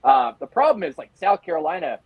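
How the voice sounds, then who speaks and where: An American man giving casual sports analysis as a reporter guest, in a halting, conversational way. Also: a little high, big pitch swings, narrow, tinny audio, very noisy.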